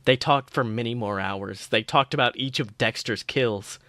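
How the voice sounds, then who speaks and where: A man's voice: mid-range voice, normal speed, pushed and bright, no echo. A man co-hosting a podcast, reading a piece of fan fiction aloud as a narrator. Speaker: podcast co-host.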